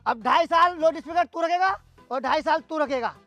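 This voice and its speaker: Man acting a high-voiced, bossy village schoolmaster. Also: moderate pace, pushed and bright, no echo, faint music behind, full character voice. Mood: exasperated. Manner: scolding, commanding. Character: bossy, exasperated village schoolmaster refereeing a dispute. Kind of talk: broad comedy sketch acting.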